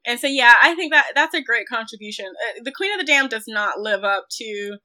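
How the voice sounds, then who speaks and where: A woman with a fairly high, very bright voice talks through film criticism as an interview guest. She is thoughtful and hesitant, feeling her way toward each point and carefully qualifying it. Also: lively intonation, fairly quick. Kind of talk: reflective film discussion interview.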